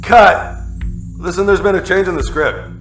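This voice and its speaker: An actor playing an impatient, contemptuous film director in an audio play, brusque and domineering, in an echoey room. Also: male voice, sits high, steady tone, moderate pace, fairly bright tone, faint music behind. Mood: impatient, bullying.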